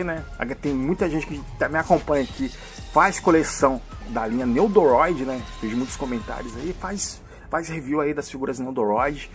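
A man with a mixed São Paulo and Rio accent, chatting through a casual toy review, full of tag questions and cheerful asides. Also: medium pitch. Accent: mixed São Paulo and Rio de Janeiro Brazilian Portuguese. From Brazil. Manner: chatty, fills with "né?" and "hein?", enthusiastic.

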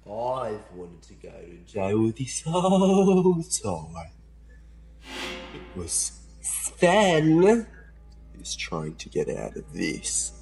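An actor playing a troubled murder suspect with two personalities, brooding and erratic, in a modern radio play. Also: male voice, on the low side, wildly swooping pitch, extremely slow, a little mellow, faint music behind, exaggerated voice, low-quality recording. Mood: taunting, bitter.

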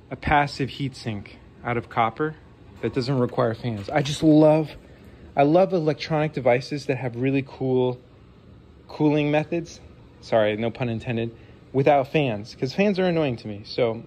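A man's voice: medium pitch, normal speed, a little mellow. A man in his forties with a sniffly nose, chatting casually and enthusiastically while taking apart a console at his home desk, with rambling apologetic asides. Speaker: vlogger modding a game console. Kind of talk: casual hands-on tech talk.

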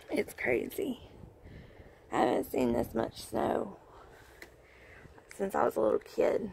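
A woman's voice: on the high side, takes it slowly, gravelly, long pauses, dark tone, a little hiss or hum. A woman with a Southern Alabama accent chatting casually and with amusement while filming outdoors on her phone. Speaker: vlogger. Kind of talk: casual video diary. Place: outdoors in a snowy yard, at home. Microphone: phone camera held while filming.

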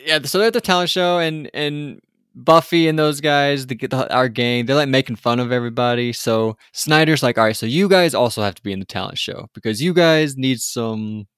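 A man's voice: mid-range voice, normal speed, a bit bright, smooth, dry recording. Rambling man, dry close sound. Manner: rambling, lots of "like" and restarts. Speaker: podcast co-host. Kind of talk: casual chat recapping a TV episode.

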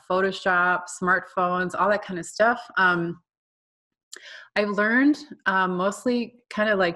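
A woman with a slightly low voice presents to an online art class. She is an artist and teacher who talks informally, as if chatting. Her delivery is conversational and wanders into asides as she explains her slides. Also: a bit bright.

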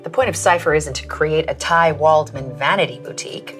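A woman's voice: low voice, a little fast, fairly bright tone, soft background music. An actress playing a blunt, teasing female colleague in an audio drama.

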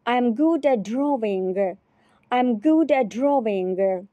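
A woman teacher repeating short phrases slowly and carefully in a repetition drill. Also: a little high, lively intonation, dark tone, no echo, muffled recording. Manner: repeats each phrase three times, carefully.

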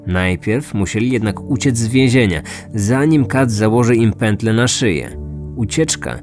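A man hosting a true-crime podcast, narrating from a script in measured storytelling style. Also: fairly low pitch. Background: background music.

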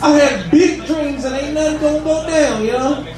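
A man's voice: very high voice, moderate pace, over music. A man in his thirties doing a casual, profane stand-up set at an open mic in a bar. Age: 30s. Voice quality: casual, profane, drawling delivery. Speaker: stand-up comedian. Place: bar comedy room. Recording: echoey bar room, distant and noisy. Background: audience ambience.

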